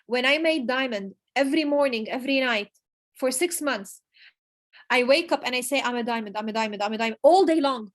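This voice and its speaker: A woman giving an emphatic motivational talk to her business team, repeating key phrases and pausing for effect. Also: high voice. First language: Arabic. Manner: emphatic, repetitive, pauses for emphasis. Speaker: network marketing leader giving a motivational talk. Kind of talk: motivational speech.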